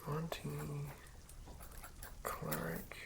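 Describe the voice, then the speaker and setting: A person whispering softly and haltingly in an unhurried ASMR style, as a host talking through and reading tabletop game material. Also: low-pitched, big pitch swings. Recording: lo-fi whisper with clear background noise.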